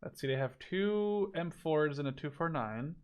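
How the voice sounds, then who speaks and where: A man's voice: a little high, lively intonation, a little slow, smooth, lo-fi sound. A man giving casual, unscripted spectator commentary on a video game, thinking aloud in a rambling, speculative way.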